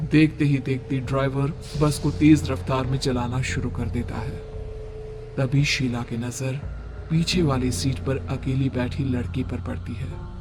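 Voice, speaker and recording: A man's voice: medium pitch, background noise. A man narrating a scripted horror story, with music playing underneath.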